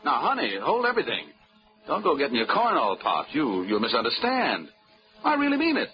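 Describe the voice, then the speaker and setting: An actor playing a breezy, flirtatious male insurance investigator in a 1940s radio drama who talks in easy wisecracks. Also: fairly quick. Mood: flirty. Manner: wisecracking, easygoing drawl with lively pitch. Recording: dull vintage radio broadcast with music.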